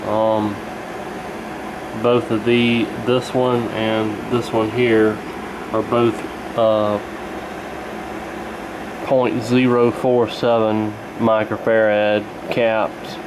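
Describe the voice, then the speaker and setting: A man presents a repair demonstration at a slow, halting pace. His manner is casual and matter-of-fact, with plenty of pauses. There is clear steady noise behind his voice. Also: medium pitch. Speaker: radio repair hobbyist presenting.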